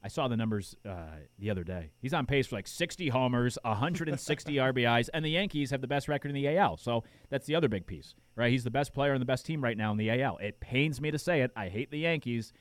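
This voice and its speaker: A man's voice: medium pitch, animated, fast talker, few pauses, dry recording. A man hosting a sports talk radio show in a studio, talking casually with lots of fillers while he is losing his voice. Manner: casual, rambling, many fillers. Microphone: studio microphone.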